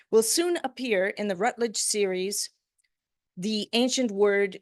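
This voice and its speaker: A woman of middle pitch reads a formal introduction from notes, measured and steady. She stands in a lecture room, and her voice sounds dry and close. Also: dry recording. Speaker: faculty member introducing the speaker.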